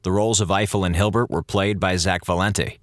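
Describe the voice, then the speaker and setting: A man delivers scripted credits as a show announcer. His voice is low and deep, and the recording is dry, with no room sound around it. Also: steady tone, brisk, no room sound. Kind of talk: scripted narration.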